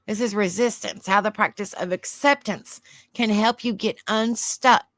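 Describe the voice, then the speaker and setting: A woman with a Southern US accent and a soft, soothing voice hosts a livestream. She chats in a rambling way, reads aloud and answers viewer comments. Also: medium pitch, unhurried, no echo. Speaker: livestream host. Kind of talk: casual livestream chat, reading aloud.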